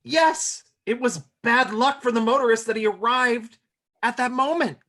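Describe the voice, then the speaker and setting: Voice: medium pitch, normal speed, pushed and bright. An actor playing an earnest professor, one of the suspects in a comedy murder mystery table read. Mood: animated. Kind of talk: live table read of a comedy film script.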